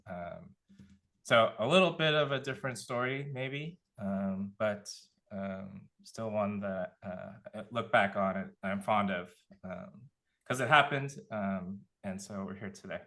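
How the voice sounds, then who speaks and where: A man from California giving a relaxed career talk as a panelist, thinking aloud with many fillers. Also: fairly low pitch. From Contra Costa County, California. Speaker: panelist, nonprofit director.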